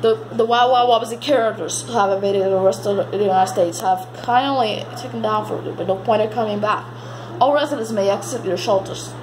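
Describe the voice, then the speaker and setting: Clear background noise sits around a woman's amateur recording. She reads a scripted mock emergency alert in the role of announcer. Her delivery is halting, with stumbles and repeated words, at a medium pitch. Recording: noisy amateur home recording.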